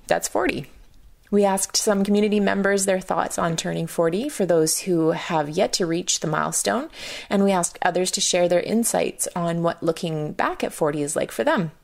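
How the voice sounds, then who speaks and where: Gravelly woman hosting a radio show. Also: fairly low pitch. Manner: measured, explanatory delivery. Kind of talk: scripted radio narration.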